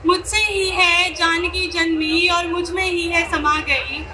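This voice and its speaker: A woman's voice: very high voice, pushed and bright, a little hiss or hum, poor audio. A woman performing dramatic slam poetry, declaiming and repeating lines for emphasis. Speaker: poet performer. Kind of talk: dramatic slam poetry recitation as Mother Earth.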